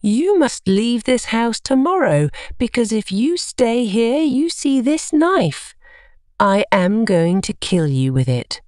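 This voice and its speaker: A woman voicing a menacing blind woman, a jealous wife, in a deliberate, threatening manner for a story. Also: on the high side, sing-song, darkish tone, smooth, dry recording, clean studio sound. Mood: coldly threatening.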